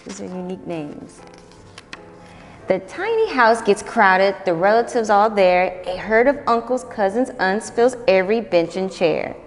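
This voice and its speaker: A young adult woman reads picture books aloud for children with an expressive, lilting delivery. Her pitch moves widely through each line. The recording has a long roomy echo and soft background music. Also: a little slow. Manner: expressive, sing-song read-aloud. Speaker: volunteer storybook reader. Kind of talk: children's storytime read-aloud.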